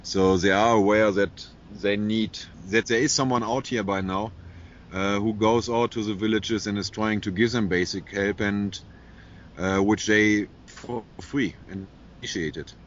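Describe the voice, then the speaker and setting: A man's voice: fairly low pitch, steady tone, measured pace, muffled recording, a little hiss or hum. A man with a German accent speaking as an interview guest, earnest and repeating words as he goes. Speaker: animal welfare worker. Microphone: internet call.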